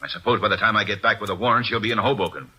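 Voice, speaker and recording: An actor playing a gruff, impatient police inspector in a 1940s radio drama, blunt and husky. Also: male voice, on the low side, rapid-fire, pushed and bright, exaggerated voice, low-quality recording.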